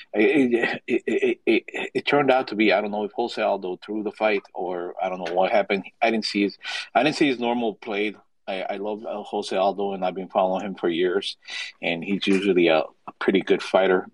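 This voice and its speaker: A man calling in to a show with a question, halting and repeating words. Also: fairly low pitch, no room sound, poor audio. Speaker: caller. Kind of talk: call-in question.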